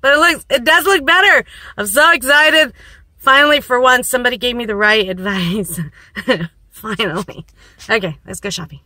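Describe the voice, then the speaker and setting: Woman, thirties, hoarse voice, bright tone, rambling self-mocking chat, phone held in a parked car. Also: fairly high pitch, moderate pace, no echo. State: hoarse, losing her voice. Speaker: vlogger. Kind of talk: casual vlog chat. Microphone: phone at arm's length.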